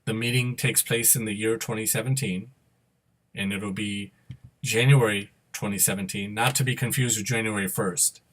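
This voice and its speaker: A man giving an unscripted, step-by-step software tutorial as an instructor, explaining each move and often checking that the listener follows. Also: fairly low pitch, steady tone, normal speed, rough recording.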